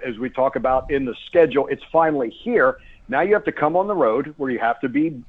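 A man speaking over a phone line as a guest sports announcer, giving casual, chatty and emphatic analysis in a radio interview. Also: mid-range voice. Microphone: phone call.

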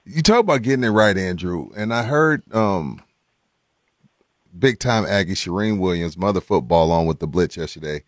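Male sports radio co-host, lowish pitch, casual interviewer, dry studio sound. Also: lively intonation, moderate pace, dry recording.

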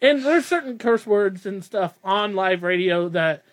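Man, high-pitched voice, podcast host, casual rambling chat, self-deprecating, frequent laughs. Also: animated, measured pace.

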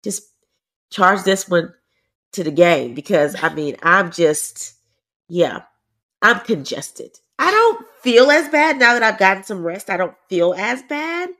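A woman's voice: medium pitch, measured pace. A woman with a stuffy, congested voice, co-hosting a casual podcast chat and explaining things plainly. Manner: animated, explains medical terms plainly. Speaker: podcast co-host.

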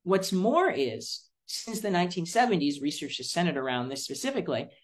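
A young adult American man from Florida giving explanatory interview answers as a student policy advocate, speaking in an articulate, deliberate way with emphatic phrasing. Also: on the high side, sing-song. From Florida, United States.

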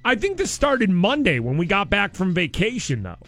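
A man hosting a casual morning radio show in a studio, a bit hoarse, talking in an animated, rambling way and laughing easily. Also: high voice, normal speed, faint music behind. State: hoarse, losing his voice.

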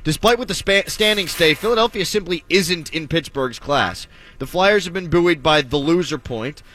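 A man's voice: a little high, lively intonation, moderate pace, pushed and bright, slight background noise. A man hosting a sports talk radio show, joking and wry in his delivery.

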